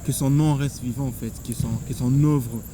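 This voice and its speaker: A man's voice: mid-range voice, fairly even intonation, moderate pace. Earnest man with repetitive restarts. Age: 30s. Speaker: guest, son of honored journalist. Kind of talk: interview answers. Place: outdoor stadium. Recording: loud insect noise outdoors.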